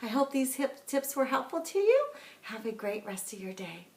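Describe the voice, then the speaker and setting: Woman, somewhat high pitch, instructional teacher talk, animated, emphatic, very roomy echoing recording. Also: normal speed. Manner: animated, emphatic, acts out example questions. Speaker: teacher giving tips to parents. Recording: echoey room sound.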